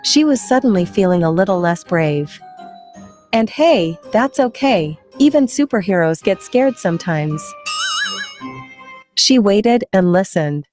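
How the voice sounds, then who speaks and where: A woman's voice: mid-range voice, animated, a little slow, music in the background. A woman hosting story time for children, playful and chatty, putting questions to her young listeners.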